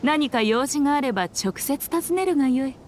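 A woman's voice: high-pitched, lively intonation, moderate pace, darkish tone, slight background noise. A woman voicing a haughty, elegant lady editor in an anime game, imperious and self-assured, with archaic phrasing. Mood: lofty, brisk. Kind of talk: Japanese anime game voice acting.

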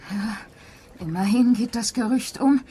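A woman's voice: fairly high pitch, measured pace, mellow, a little hiss or hum, natural voice. A woman playing a gossipy country-house housekeeper in a German mystery radio play, telling things in a confiding, dramatic way.

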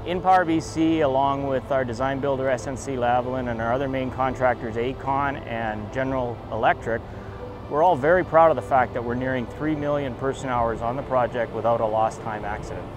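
A man's voice: medium pitch, brisk, background noise. A middle-aged man, giving prepared interview answers as a company chief executive at an outdoor site. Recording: music and outdoor site sound behind.

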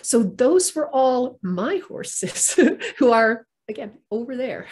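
A middle-aged woman from Finland, speaking as a therapist guest on a video call, thoughtful with many pauses and fillers. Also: a little high, big pitch swings, a little mellow, slight background noise. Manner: thoughtful, many ums and restarts, light laughs. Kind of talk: conversational interview answers. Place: home room on a video call. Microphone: webcam or laptop mic, video call.

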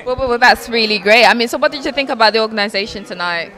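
A woman's voice: a little high, fast, bright, forceful tone. A woman in her twenties interviewing guests at an event as a reporter, on a handheld microphone. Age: 20s.

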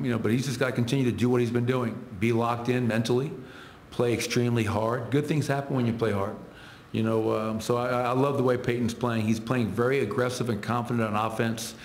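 A man's voice: medium pitch, echoey room, slight background noise. A middle-aged man, a head coach, answering reporters at a post-game press conference, listing stats in short clipped phrases into table microphones. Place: arena press room.

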